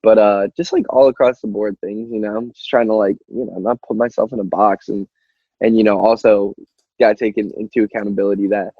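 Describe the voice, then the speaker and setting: A man's voice: lowish voice, fairly even intonation, a little fast, breathy edge, no room sound. A young man chatting casually as a musician in an interview, rambling informally with lots of "like", on a slightly muffled recording. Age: young adult.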